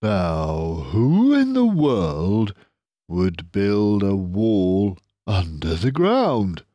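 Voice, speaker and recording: A mild, worried, respectable badger, put on by a man reading a storybook. The voice is mellow and extremely slow, hesitating earnestly as it picks through each phrase. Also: on the low side, huge pitch swings, no echo, exaggerated voice. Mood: puzzled. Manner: hesitant, deliberate, bumbling. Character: gentle, anxious, respectable male badger, loyal and slow.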